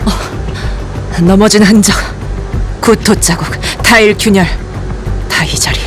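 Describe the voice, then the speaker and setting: An actress plays a sardonic female shaman in an audio drama. Her voice is mid-pitched and she teases coolly. When she channels the dead, she falls into a murmuring, trance-like delivery. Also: takes it slowly, over music, lightly acted. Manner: teasing, then trance-like murmuring.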